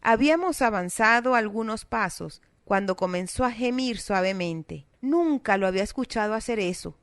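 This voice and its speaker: A woman with a South American Spanish accent, reading a children's story aloud in a steady, expressive way. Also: mid-range voice, normal speed, no echo. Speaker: narrator. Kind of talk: scripted storybook narration.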